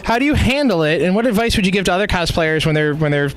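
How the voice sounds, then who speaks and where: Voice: medium pitch, expressive, fast. Casual interviewer with a mid-range voice.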